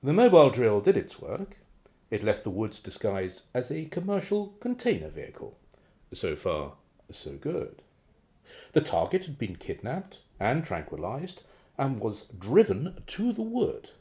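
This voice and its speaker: A man's voice: medium pitch, unhurried. An actor playing a glib secret agent in a radio play, polite and evasive as he answers to his boss. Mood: breezy, confiding. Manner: polite, evasive, theatrically animated. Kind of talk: radio drama acting.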